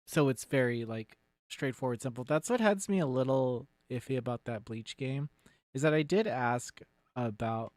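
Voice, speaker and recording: A man's voice: medium pitch, no echo. A man giving casual, off-the-cuff commentary as a streamer, blunt and sweary, rambling and often trailing off mid-thought.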